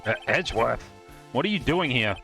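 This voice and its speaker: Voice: mid-range voice, expressive, normal speed, gravelly, a bit bright. A performer voicing a flustered, questioning young male defense lawyer with a hesitant manner in a live game fan dub. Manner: hesitant, incredulous. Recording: music behind the voice.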